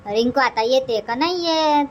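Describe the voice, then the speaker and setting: A woman as a high-voiced, nagging village mother. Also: normal speed, muffled recording, a little hiss or hum, close to an everyday voice. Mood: nagging, insistent. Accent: rural Vidarbha Marathi. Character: middle-aged village farm mother, nagging but caring. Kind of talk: amateur Marathi cartoon comedy dubbing.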